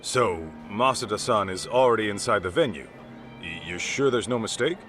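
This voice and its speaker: Polite detective type asking around, lively voice, game music behind. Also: male voice, on the low side, normal speed. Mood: earnest. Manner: polite, persistent questioning. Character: man investigating, questioning people at an event. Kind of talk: game dub acting.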